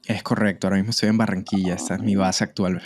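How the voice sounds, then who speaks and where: A man from Colombia in his thirties, a podcast guest talking in a relaxed, hesitant way with frequent fillers and repeated words. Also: deep voice, racing. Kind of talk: conversational interview.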